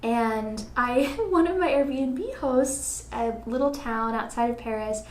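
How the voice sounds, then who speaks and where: A woman's voice: on the high side, takes it slowly. A woman hosting a podcast in casual conversation, thinking aloud earnestly with plenty of filler phrases. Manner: earnest, thinking aloud, frequent "like" and "you know". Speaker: podcast host. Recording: echoey room, faint background noise.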